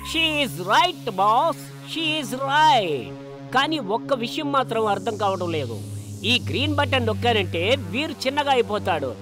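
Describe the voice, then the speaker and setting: A man's voice: extremely high pitch, sing-song, heavily acted. A man voicing a dim-witted, loyal henchman in a children's cartoon, with a plaintive, whiny manner. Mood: puzzled. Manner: slow-witted, plaintive, repeatedly addressing boss. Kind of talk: animated children's cartoon acting. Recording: background music under the voice.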